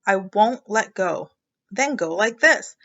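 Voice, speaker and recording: A woman's voice: mid-range voice, lively intonation, slow pace, pushed and bright, lo-fi sound. A woman voicing a patient but firm mother frog in a storybook, coaxing and instructing step by step.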